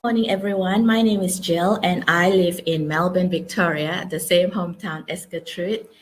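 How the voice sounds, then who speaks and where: A woman's voice: on the low side, fairly even intonation, moderate pace, faint music behind, low-quality recording. A woman in her forties from Malaysia, telling her career story as a guest, with frequent ums and uhs. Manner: hesitant, many ums and uhs. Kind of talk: casual interview storytelling. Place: Melbourne.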